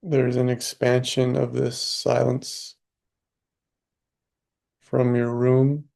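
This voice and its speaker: A man leading a guided meditation as a teacher, unhurried and leaving long pauses. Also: medium pitch, flat delivery, gravelly, a little mellow.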